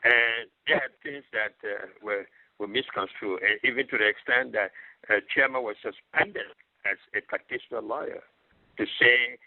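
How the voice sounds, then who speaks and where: A man guest on a radio show, explaining in a deliberate, lecturing way with measured pauses. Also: medium pitch, no echo. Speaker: guest, religious leader. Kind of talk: radio interview answers. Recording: tinny phone line.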